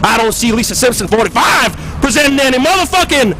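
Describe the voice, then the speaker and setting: A man's voice: very high-pitched, animated, fast talker, bright, forceful tone, a little hiss or hum, exaggerated voice. A man playing a brash, foul-mouthed games show host who swaggers and talks in a crude, folksy way. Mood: boastful. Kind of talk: comedic presenting and street interviews. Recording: music behind, improvised handheld microphone.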